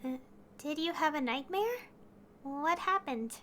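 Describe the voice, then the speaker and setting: A woman's voice: sits high, lively intonation, a little slow, sharp tone, a little hiss or hum. A woman streaming a video game, reading dialogue aloud and chatting casually to viewers.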